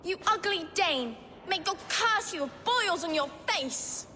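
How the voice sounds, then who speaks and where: A very high-pitched street urchin child in a game cutscene. The orphan is feisty, pert and wheedling, heard in long reverb over faint noise. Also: female voice, lively intonation, a little slow, bright, forceful tone, heavily acted. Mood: angry. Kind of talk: video game cutscene acting.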